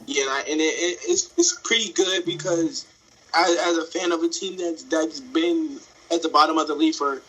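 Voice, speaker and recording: A man's voice: a little high, moderate pace, fairly bright tone, husky, low-quality recording. A fifteen-year-old boy co-hosting a casual sports podcast, talking hesitantly with lots of ums and restarts. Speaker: podcast co-host.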